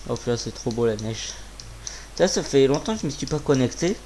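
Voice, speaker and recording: A man with a mid-pitched, slightly hoarse voice commentates casually over his own gaming, talking to himself in loose, slangy speech with swearing. Clear background noise and keyboard clicking sit behind his voice. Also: animated, fairly quick, a little mellow. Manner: informal, muttering to himself, swearing. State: sore throat with a cough. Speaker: gaming video creator. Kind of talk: casual live gameplay commentary.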